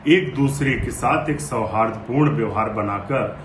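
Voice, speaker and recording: A man with a medium-pitched voice makes a formal, conciliatory statement. The sound is roomy and echoey, with faint noise around him. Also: moderate pace. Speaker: interviewee giving reaction. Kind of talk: measured formal press reaction.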